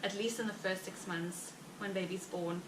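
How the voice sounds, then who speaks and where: A heavily pregnant woman with a South African Afrikaans accent, chatting casually to her viewers in a rambling way with many fillers and quick laughs. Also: mid-range voice, fairly even intonation. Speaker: vlogger. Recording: roomy, distant mic with background noise.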